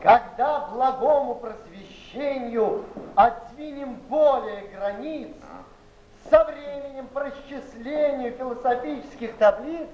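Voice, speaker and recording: A man's voice: very high voice, heavily acted. An actor playing a mocking man of letters, with an exaggerated, declamatory theatrical delivery. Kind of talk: theatrical verse recitation and stage dialogue. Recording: echoey, muffled, noisy old theatre recording.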